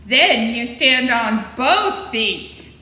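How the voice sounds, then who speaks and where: A woman reads a children's story with playful, dramatic expression. Her voice is fairly high and bright, moving up and down freely, and she chats to her listeners as she goes. The room has a clear echo. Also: very animated, very slow. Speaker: library storytime reader. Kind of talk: animated children's story reading with asides to listeners. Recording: echoey room with faint noise.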